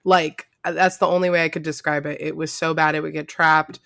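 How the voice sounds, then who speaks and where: A woman co-hosts a casual podcast with a bright, forward voice and a noticeable fry. She talks informally and emphatically, close and dry on the mic. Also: sits low, little pitch movement, fairly quick, dry recording. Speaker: podcast co-host.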